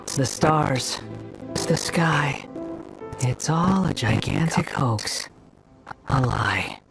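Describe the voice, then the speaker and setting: Young male antagonist, video game: theatrical sneer, scornful and smug, mid-pitched with vocal fry. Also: animated, slow, low-quality recording. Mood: grave, agitated. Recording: music under the voice.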